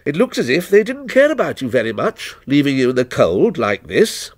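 A man's voice: a little high, huge pitch swings, moderate pace, no echo, studio quality. A man voicing a scornful, aloof stranger who asks probing, needling questions.

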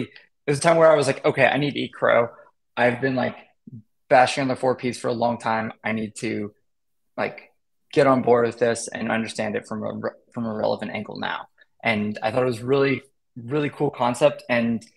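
A man in his thirties with a gravelly, creaky edge to his voice, chatting on a video call. He rambles through his points with plenty of fillers and self-corrections, like a teacher talking off the cuff. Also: mid-range voice, poor audio. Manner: rambling, many fillers and restarts. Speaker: marketing educator, guest. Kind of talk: casual interview chat.

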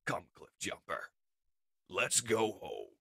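A man voicing a heroic, wrathful robot commander in slow, dramatic declarations for a comic dub. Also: on the high side, animated, sharp tone, breathy edge, dry recording, studio quality, voice pushed up, exaggerated voice. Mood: solemn.

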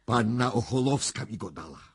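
Husky, animated self-assured investigator. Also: male voice, medium pitch, dry recording, studio quality, full character voice. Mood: pressing, probing. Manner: formal, deliberate, emphatic. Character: self-assured male clairvoyant investigator, probing and methodical. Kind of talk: radio drama acting.

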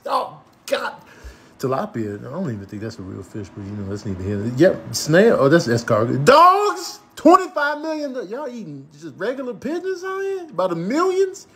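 A man doing improvised comic voiceover commentary, full of slangy, exclamatory trash talk. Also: very high-pitched. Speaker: voiceover comedian.

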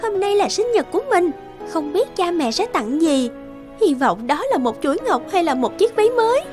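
A woman's voice: extremely high pitch, sing-song, normal speed, over music. A woman playing a vain, spoiled teenage girl in a cartoon fairy tale, whiny and demanding. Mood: hopeful.

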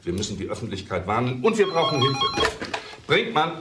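An actor playing an authoritative, clipped police detective leading a manhunt in a crime radio drama. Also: male voice, medium pitch, big pitch swings, a little fast, over loud music, natural voice. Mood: commanding, urgent. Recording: echoey room with clear noise, clicks.

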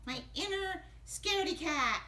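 A man voicing an excitable, childlike little ghost puppet in a children's puppet show, whiny, exclaiming and repeating himself. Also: very high-pitched, a little slow, roomy sound, heavily acted. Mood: dismissive.

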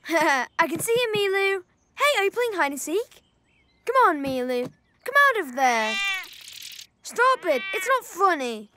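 A performer voicing an excitable little boy rabbit in a children's cartoon, childlike, exclamatory and playful. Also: extremely high pitch, a little slow, background noise, exaggerated voice. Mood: urgent. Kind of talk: children's animated cartoon voice acting. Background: children's music.

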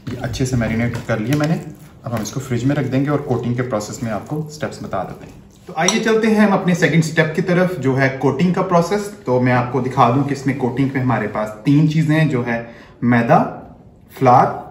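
A man's voice: mid-range voice, a little fast. Man explaining a recipe step by step. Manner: step-by-step instructive, speaks while working with hands. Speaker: chef. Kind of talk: cooking demonstration. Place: home kitchen, indoors. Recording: echoey kitchen, faint background noise.

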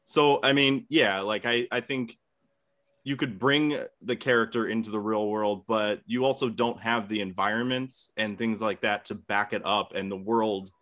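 Lowish voiced guy in a casual debate, kinda tentative, lots of i think. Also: fairly even intonation, moderate pace, a bit bright. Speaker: debate contestant.

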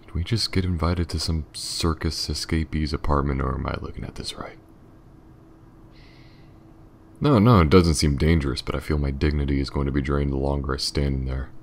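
Man acting as a very deep, gravelly, wary detective. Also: a little hiss or hum. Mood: sardonic. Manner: halting, muttering. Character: gruff, wary, sardonic male police detective. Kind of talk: audio roleplay acting.

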